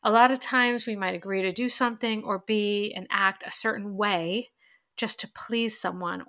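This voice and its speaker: Bright-voiced woman, stuffy and nasal. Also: medium pitch, steady tone, moderate pace. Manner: chatty, many fillers and restarts. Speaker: self-help vlogger. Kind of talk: casual advice talk to camera.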